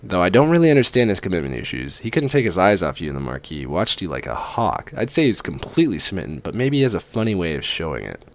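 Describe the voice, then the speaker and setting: A man's voice: deep voice, big pitch swings, quick pace, gravelly, few pauses, heavily acted. A man doing a brash young woman roommate. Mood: frank. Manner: brash, outspoken.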